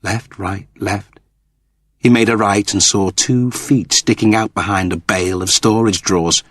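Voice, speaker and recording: A man's voice: on the low side, steady tone, a little slow, a bit bright, no echo, clean studio sound. A man narrating a story as a scripted, dramatic audiobook reading.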